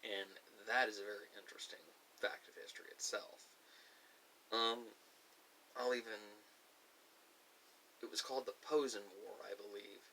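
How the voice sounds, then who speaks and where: An American man giving a rambling monologue to camera as a video host, often pausing mid-sentence in a halting way. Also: on the low side, steady tone, vocal fry, sharp tone. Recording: hissy, distant microphone.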